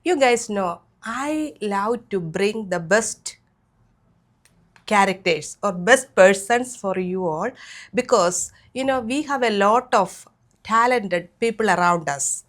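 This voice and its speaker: A woman's voice: medium pitch, animated, slow. A middle-aged woman hosting an interview, talking in a rambling way with many fillers. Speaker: host.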